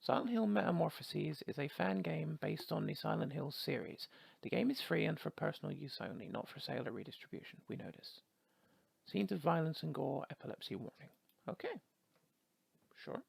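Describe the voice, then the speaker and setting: A man's voice: a little high, sing-song, moderate pace, vocal fry, husky, no room sound. A man doing a casual let's play, reading game story text aloud and commenting with wry, rambling asides.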